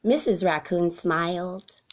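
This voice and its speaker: A woman narrating a children's story aloud in a soft, deliberate storytelling manner. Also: fairly low pitch. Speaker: storybook reader.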